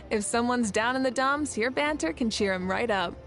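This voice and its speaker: A woman voicing a confident, playful female leader in a video game, who speaks in a relaxed, teasing way. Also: a little high, unhurried. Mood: easygoing. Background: game music.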